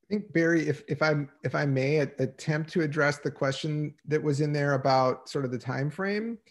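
A man, a school administrator explaining plans carefully in a formal meeting, with frequent fillers, speaking from home on a video call. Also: a little high. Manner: measured, hedging with many fillers. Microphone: laptop mic on a video call.

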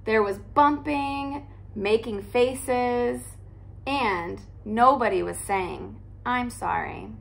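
A young woman, a teacher, reading a children's picture book aloud in an animated, expressive way at home. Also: a little high, extremely slow, vocal fry. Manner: animated, expressive storytelling with character voices. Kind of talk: storybook read-aloud. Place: living room by a fireplace, indoors.

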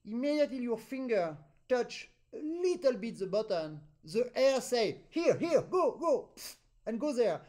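A man with a French accent, a native French speaker, teaching an accordion master class over a video call, animated and pausing deliberately between phrases. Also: extremely high pitch. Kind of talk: online master class instruction.

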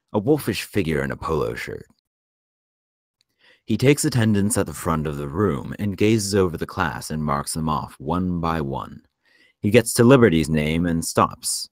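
A man narrating a screenplay at a table read in a measured storytelling delivery. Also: deep voice, no room sound, clean studio sound. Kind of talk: scripted narration of stage directions.

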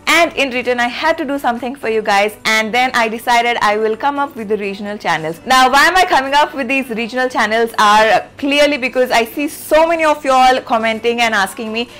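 A woman's voice: a little high, a little fast, few pauses. A young woman with an Indian English accent, vlogging to camera in a chatty way that repeats itself, filmed in a small room at desk distance. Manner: chatty, repeats herself, lively hand gestures. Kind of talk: casual direct-to-camera vlog.

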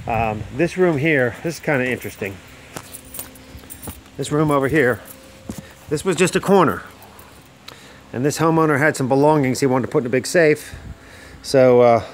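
A man's voice: medium pitch, a little mellow, slight background noise. A middle-aged home builder filming a casual, plain-spoken walkthrough of a construction site outdoors on a handheld phone, explaining as he goes with fillers and restarts. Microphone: handheld phone camera.

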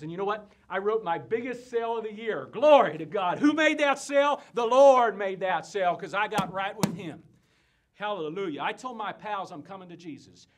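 Conman revival preacher, high-pitched male voice, fiery, bombastic, folksy, exhortatory, theatrical stage sermon. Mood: folksy storytelling, then exultant, then earnest testimony. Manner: bombastic, exhortatory, folksy, swinging from hushed confiding to shouting. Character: fiery conman revivalist preacher crusading against booze and sin. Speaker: stage actor.

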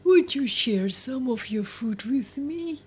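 A small old dwarf from a children's storybook, played by a woman, speaks politely and carefully. The voice is high and husky, with a slow, secretive delivery. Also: expressive, a little mellow, full character voice. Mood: polite pleading.

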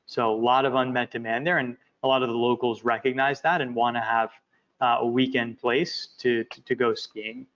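A man walking through presentation slides for an investment project, talking in a halting way with many fillers and repeated words. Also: mid-range voice, flat delivery, fairly bright tone. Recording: muffled lo-fi audio.

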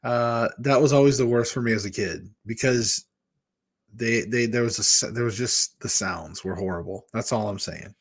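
A man in his fifties, originally from Michigan, chats casually and candidly with his livestream viewers. His tone is mid-pitched and relaxed, and he wanders from story to story while gesturing. He speaks close to a desk microphone in a small room at home. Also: moderate pace, breathy edge. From Michigan, USA. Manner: candid, rambling, talks with his hands. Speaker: weight-loss vlogger hosting a live chat. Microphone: large desk microphone in front of a webcam.

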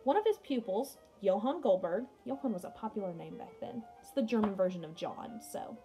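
A woman's voice: medium pitch, expressive, fairly quick, warm tone. A young woman teaching music in a read-aloud lesson for children, explaining and chatting directly to her pupils. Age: young adult. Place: small indoor room at home.

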